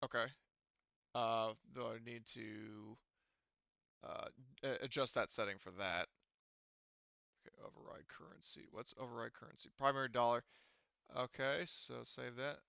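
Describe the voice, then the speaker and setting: Crisp, bright man thinking aloud, slightly creaky. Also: mid-range voice, measured pace, long pauses, no room sound, highs cut off. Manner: informal, sweary, thinking aloud. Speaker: game master of an online tabletop roleplaying game. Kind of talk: casual group chat while troubleshooting software.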